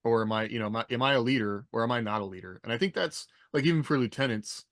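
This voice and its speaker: A man's voice: mid-range voice, fast talker, fairly bright tone, dry recording, studio quality. A man talking casually on a podcast panel as a military veteran who writes.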